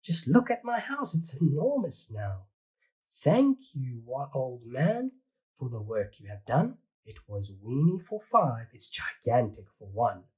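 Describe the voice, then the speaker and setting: A fussy little old woman from a picture book, put on by a reader, pleading and exclaiming over her cramped home. Her husky voice climbs high and swings widely, drawn out slowly on each refrain. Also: sing-song, darkish tone, heavily acted. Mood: relieved, glad. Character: fretful little old woman, crowded house.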